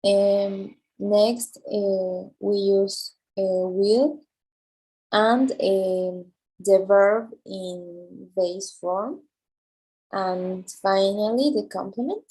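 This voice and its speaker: A woman's voice: medium pitch, fairly even intonation, very slow, warm tone, rough recording. A woman student answering her teacher hesitantly in English, with many fillers.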